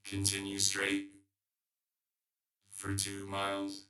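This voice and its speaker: A robotic, synthetic male voice giving formal, measured navigation prompts in a monotone. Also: sits low, echoey room. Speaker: navigation system voice.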